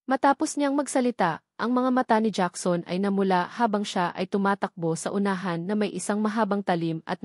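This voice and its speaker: A woman narrator reads a scripted novel aloud. Her pitch sits in the middle range for a woman. The sound is very dry, with no room around the voice. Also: darkish tone, no room sound. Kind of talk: scripted audiobook-style narration of a web novel.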